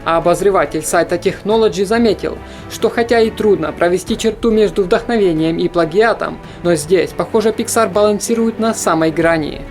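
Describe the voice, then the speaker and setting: A narrator reading a scripted countdown of animated films in a steady, informative voice-over. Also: mid-range voice, fairly quick, few pauses, soft background music. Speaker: film channel narrator.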